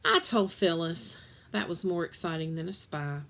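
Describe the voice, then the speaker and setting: A woman reading a children's novel aloud in an expressive storytelling style. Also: fairly low pitch, moderate pace.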